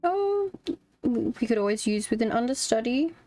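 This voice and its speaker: A woman's voice: on the high side, sing-song, moderate pace, dark tone. A woman giving casual live commentary as she streams a game, with brief reactions. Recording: dry close mic over game music.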